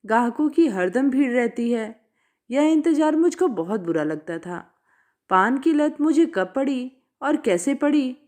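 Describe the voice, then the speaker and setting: An Indian-accented woman narrates a classic short story. Her voice sits fairly high and moves through a wide, animated range of intonation. She reads in an expressive, audiobook-like storytelling style.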